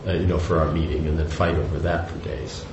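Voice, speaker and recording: A man's voice: extremely low pitch, steady tone, fast, lo-fi sound. An older man giving a devotional lecture, talking in a loose, rambling way with many restarts and asides. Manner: rambling, conversational, frequent "you know" and restarts. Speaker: spiritual teacher. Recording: echoey room with faint noise.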